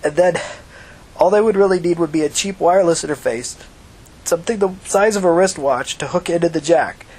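A man's voice: a little high, brisk, darkish tone, slightly rough, pitched above the performer's own voice. A man voicing a cowed, evasive male hacker, hesitant and whiny, in an audiobook story. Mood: hesitant, explaining.

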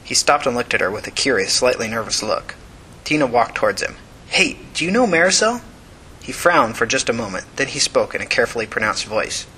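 Bright-voiced man narrating fiction. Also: mid-range voice, quick pace, slight background noise, poor audio.

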